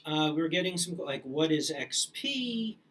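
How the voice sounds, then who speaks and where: A man co-hosting a live online Q&A talks casually, stopping often on "uh" while he finds his words. His voice sits somewhat high for a man. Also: sing-song, fairly bright tone. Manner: casual, halting, many "uh"s. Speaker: company staff host answering questions. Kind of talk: live stream Q&A.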